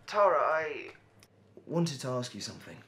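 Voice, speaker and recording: A man's voice: lowish voice, moderate pace, gravelly, a bit bright, a little hiss or hum. A man playing an obsessive, lovelorn artist in an audio drama, speaking hesitantly and with awkward politeness. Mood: nervous, hesitant. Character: nervous, obsessive lovelorn male artist narrating his longing. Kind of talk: dramatic monologue and phone dialogue.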